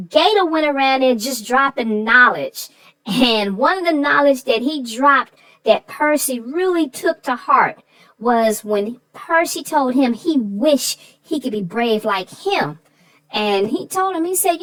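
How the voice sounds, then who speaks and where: A woman's voice: high-pitched, no room sound, low-quality recording. A woman co-host chatting casually about a children's story, folksy and full of sayings.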